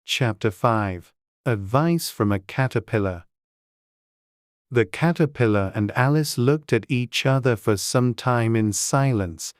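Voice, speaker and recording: A narrator reading a classic storybook in a measured, deliberate way. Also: mid-range voice, lively intonation, no echo, studio quality. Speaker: audiobook narrator.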